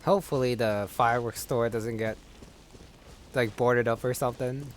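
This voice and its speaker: A man's voice: medium pitch, fairly even intonation, normal speed, slight background noise. A man giving casual commentary while playing a video game. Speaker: gamer, let's play commentator. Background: game music.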